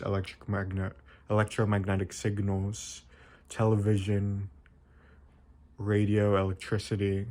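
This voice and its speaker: A young man with a fairly low voice talks through an informal video essay. His delivery is very flat, with little rise or fall. He phrases things haltingly and often corrects himself as he explains. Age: young adult.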